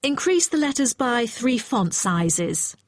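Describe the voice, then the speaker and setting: A woman's voice: on the high side, sing-song, slow pace, dry recording, clean studio sound. A woman playing an exacting, matter-of-fact hotel client in a scripted listening-test dialogue. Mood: businesslike, firm. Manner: formal, precise, matter-of-fact. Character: brisk, exacting female hotel client requesting brochure revisions.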